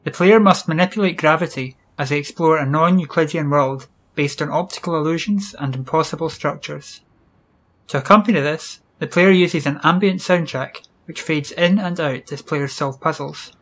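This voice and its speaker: A British man hosting an educational video, reading scripted explanations in a measured, instructional way. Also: a little high, a bit bright. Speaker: video series host. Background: music.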